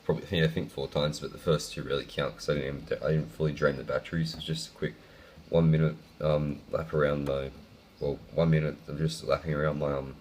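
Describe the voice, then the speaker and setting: Young man, croaky from illness, very low pitch, casual rambling with ums. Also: darkish tone. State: sick, croaky voice. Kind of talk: hobby build vlog.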